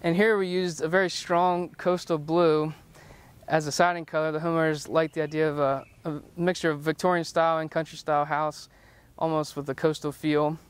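Male designer, 30s, friendly tour presenter; slightly high, even-toned voice on a lapel mic. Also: moderate pace, clean tone. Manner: friendly, explanatory, with occasional fillers and restarts. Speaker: home designer giving a house tour. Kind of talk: presenting to camera, semi-scripted tour. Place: outdoors in front of a house, then indoors in rooms.

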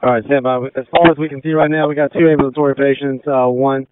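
A man, an emergency responder at a scene, giving halting, businesslike updates over two-way radio. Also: medium pitch, fairly even intonation, clear, resonant tone, no echo. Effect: radio transmission. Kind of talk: operational radio traffic. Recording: dry, dull two-way radio audio.

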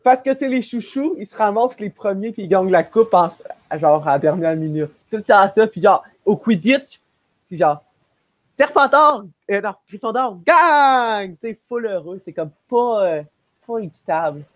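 A man's voice: high voice, expressive, normal speed, no room sound. A young man with a Quebec French accent chatting effusively in a group video call, repeating himself for emphasis. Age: young adult. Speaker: podcast panelist. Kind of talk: casual group discussion. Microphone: wired earbuds on a video call.

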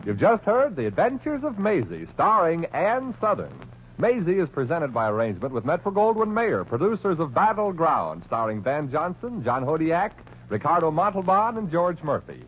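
A man's voice: on the high side, sing-song, moderate pace. A man with an American accent reading scripted announcements in 1940s radio style. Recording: muffled 1940s radio recording, loud music. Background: loud music.

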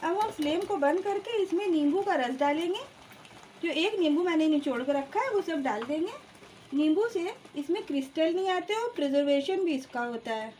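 High-voiced woman explaining a recipe. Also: animated, moderate pace, darkish tone, a little hiss or hum, poor audio. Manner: plain instructive, addresses viewers as friends. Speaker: cooking channel host. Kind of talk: step-by-step cooking demonstration voice-over. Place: home kitchen.

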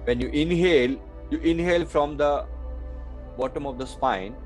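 A man's voice: on the high side, moderate pace, faint music behind. A man giving guided breathing meditation instructions, repeating short phrases as he goes.

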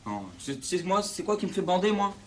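A man's voice: high-pitched, big pitch swings, fast talker, background noise. A man playing a touchy, mocking young guy from a housing estate in a film, talking slang. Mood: taunting. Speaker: film actor. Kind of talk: naturalistic film dialogue in street slang.

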